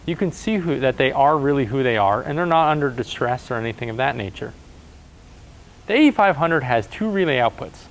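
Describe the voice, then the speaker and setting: A man presenting a product overview in a matter-of-fact, instructional way. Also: mid-range voice. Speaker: product presenter for a door-access installer.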